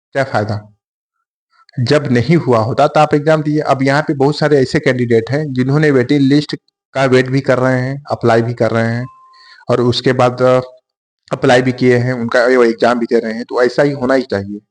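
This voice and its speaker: A man talks viewers through exam waiting-list updates in a casual explainer style. He often pauses on fillers, restarts his sentences and keeps calling his audience "guys". His voice sits at an ordinary middle pitch. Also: a little mellow. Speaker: exam coaching channel host.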